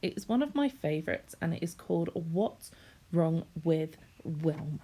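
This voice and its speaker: A woman's voice: deep voice, expressive, moderate pace, warm tone, slight background noise. A woman reading a children's story expressively, in the manner of a teacher speaking to young listeners.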